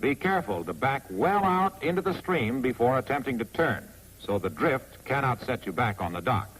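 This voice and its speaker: A man with an American accent narrates a 1940s instructional film in a wry, clearly phrased, scripted manner on a vintage soundtrack. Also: medium pitch, big pitch swings, normal speed, fairly bright tone, slight background noise, rough recording. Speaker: narrator of a military training film.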